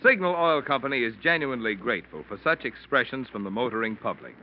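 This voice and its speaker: A man's voice: medium pitch, quick pace, slight background noise. A man reading scripted announcements in the formal, polished manner of a 1940s radio announcer. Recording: muffled vintage radio, loud music behind.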